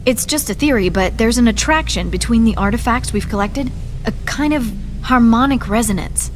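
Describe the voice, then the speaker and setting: A woman's voice: on the high side, normal speed, slight background noise, natural voice. A woman playing a curious, composed scientist in a video game, speaking precisely and intellectually. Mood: analytical. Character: curious, composed female alien-artifact scientist. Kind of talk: video game cinematic acting.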